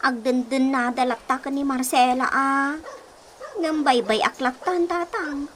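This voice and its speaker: A woman's voice: high voice, a little slow, noisy background. A woman voicing a hurt wife left by her husband, in a radio drama. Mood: resigned.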